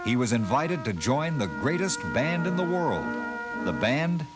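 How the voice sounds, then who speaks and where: Lively-voiced male documentary narrator. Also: medium pitch. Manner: measured, expressive storytelling. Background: band music.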